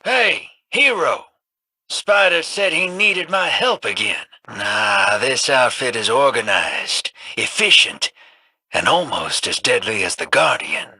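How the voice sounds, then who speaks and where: A cocky swaggering pirate captain. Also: male voice, mid-range voice, expressive, slow, pushed and bright, breathy edge, no room sound, exaggerated voice. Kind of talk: video game voice acting.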